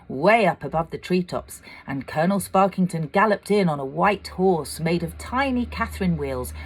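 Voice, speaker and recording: A woman narrating a children's storybook aloud, with expressive, dramatic delivery. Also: on the low side, husky, low-quality recording. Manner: expressive, dramatic, swelling for excitement and hushing for suspense. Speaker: amateur storyteller.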